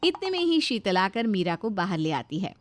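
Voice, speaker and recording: A woman's voice: mid-range voice, sing-song, moderate pace, dry recording, faint music behind. A woman reading scripted narration for a family moral story.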